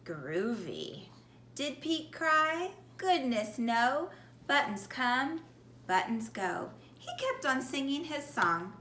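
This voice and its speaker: A woman reading a children's picture book aloud like a teacher, in a deliberate, expressive manner, putting questions to young listeners. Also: high voice. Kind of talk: animated children's storybook read-aloud. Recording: echoey room with faint background noise.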